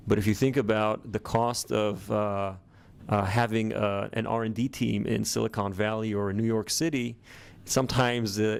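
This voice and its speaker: A middle-aged man with an American accent, a startup founder answering interview questions in a measured way with many ums and uhs. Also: fairly low pitch, gravelly. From born in Israel, grew up in the US.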